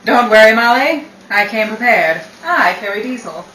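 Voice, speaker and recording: A woman acting a brisk, pushy, easily flustered businesswoman realtor in 1940s radio drama style, theatrical and exclamatory. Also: fairly high pitch, lively intonation, lightly acted. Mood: brisk, bossy. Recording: echoey room, distant mic, faint noise.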